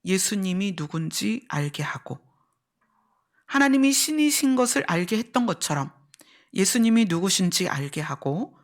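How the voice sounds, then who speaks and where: Creaky-voiced woman preaching a sermon. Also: medium pitch, sing-song, moderate pace. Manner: explanatory, repeats key phrases, poses questions to the listeners. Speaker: pastor preaching. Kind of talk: church sermon with teaching and illustrations.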